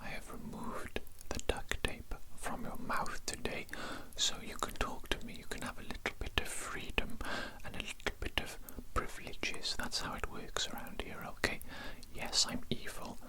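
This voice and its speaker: A man's voice: moderate pace, few pauses, lightly acted. A man voicing an evil cannibal doctor in a whispered ASMR roleplay, formal and politely domineering, repeating himself as he asserts control. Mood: controlled, menacing. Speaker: ASMR performer.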